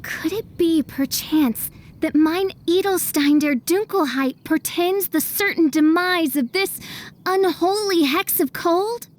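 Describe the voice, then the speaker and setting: A melodramatic self-styled princess. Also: female voice, very high-pitched, takes it slowly. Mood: grandiose. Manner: florid, archaic, melodramatic speech. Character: theatrical young princess, grandiose and dramatic. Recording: game soundtrack music behind.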